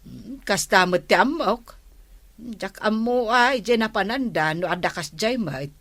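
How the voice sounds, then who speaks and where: A woman's voice: medium pitch, lively intonation, a little slow, fairly bright tone. An actress playing a village woman in a radio comedy, explaining things to her neighbor at an easy pace. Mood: chatty. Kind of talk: radio comedy drama acting.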